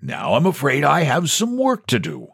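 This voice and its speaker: A man playing a smooth, condescending bank president. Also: fairly high pitch, huge pitch swings, moderate pace, a bit bright, dry recording, studio quality, voice pushed up, full character voice. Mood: patronizing. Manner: easy, patronizing.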